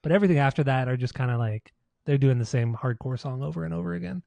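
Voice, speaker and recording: A man's voice: mid-range voice, fast, creaky, warm tone, no room sound. An American man co-hosting a podcast, chatting casually about music in an informal, slangy, sweary way. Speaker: podcast co-host.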